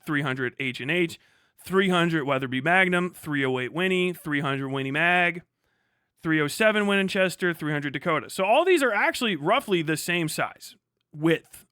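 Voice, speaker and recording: Bright-voiced man explaining casually on a livestream. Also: on the high side, clean tone, no room sound, clean studio sound. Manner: rambling, self-interrupting asides; casually sweary. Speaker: livestream host.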